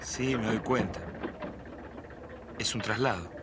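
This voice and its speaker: A man's voice: lowish voice, slow pace, very noisy. An actor playing an anxious, inquisitive man in a radio drama. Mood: inquisitive, uneasy. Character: Lorenzo, anxious inquisitive man who jots in a notebook.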